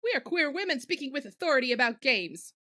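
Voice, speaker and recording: Female podcast co-host, slightly high voice, irreverent and playful, casual conversational delivery. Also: animated, fast, bright, forceful tone. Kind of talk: casual chat.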